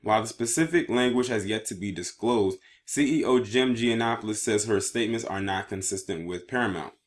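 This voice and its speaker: A man's voice: fairly low pitch, brisk. A man presenting news commentary for an online video, reading articles aloud and adding his own remarks, sometimes stumbling over words.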